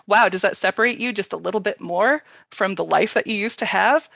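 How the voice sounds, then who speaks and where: A woman's voice: mid-range voice, brisk, creaky, fairly bright tone, dry recording, highs cut off. A woman hosting a workshop in casual chat, with an informal, giggly manner and tentative phrasing. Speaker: workshop host.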